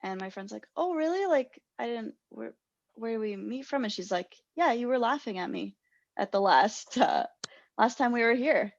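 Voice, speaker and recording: A woman's voice: fairly high pitch, animated, moderate pace, gravelly, dry recording. Young woman podcast co-host, rambling storyteller, self-mocking, dry muffled sound. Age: young adult. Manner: rambling, self-deprecating, laughs a lot. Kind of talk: casual storytelling chat.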